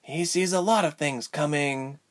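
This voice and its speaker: A male narrator puts on a young woman held captive in an adventure story. The voice is lifted high and moves around a lot, with an earnest, dry, bitter edge. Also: sing-song, measured pace, heavily acted.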